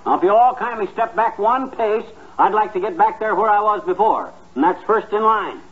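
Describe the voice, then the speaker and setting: An actor playing a blustery, stubborn husband in a 1950s radio comedy, with an American accent and folksy, slangy talk. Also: male voice, high voice, moderate pace, natural voice. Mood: indignant, pleading. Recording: dull 1950s radio recording, audience behind.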